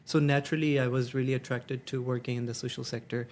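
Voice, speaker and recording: Young man, 20s, mid pitch, earnest, measured, interview guest. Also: little pitch movement, a little mellow. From Hong Kong. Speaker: senior associate at a nonprofit. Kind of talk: conversational interview answers.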